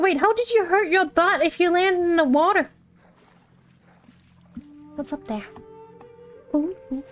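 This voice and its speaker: A woman streams a game with casual, playful commentary. Her voice is high and unhurried, with faint music underneath. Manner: playful, echoes character lines.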